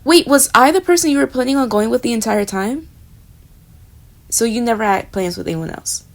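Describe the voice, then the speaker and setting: Woman doing a jealous young roommate, flustered, talking to the listener, fairly high voice, gravelly fry, soft trailing murmurs, romantic audio roleplay. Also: fairly quick, close to an everyday voice. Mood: giddy.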